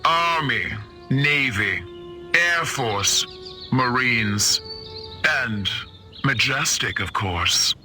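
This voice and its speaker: An actor playing a coldly condescending government agency chief in a video game cutscene, speaking in a measured, menacing way. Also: male voice, mid-range voice, animated, sharp tone, faint music behind, heavily acted. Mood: smug. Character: smooth, coldly imperious male secret agency chief.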